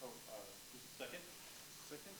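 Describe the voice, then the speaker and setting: A woman's voice: rumbling low, very animated, fairly quick. A woman in a staged play reading, playing an earnest professor keen to explain ideas. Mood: eager. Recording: very echoey room with clear noise.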